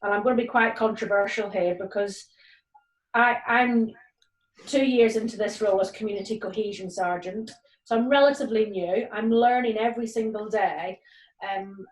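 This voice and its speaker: A woman in her forties, a police community cohesion sergeant, speaking earnestly on a video call with many ums and restarts. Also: medium pitch. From Nigeria and Northern Ireland. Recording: roomy, distant video call audio.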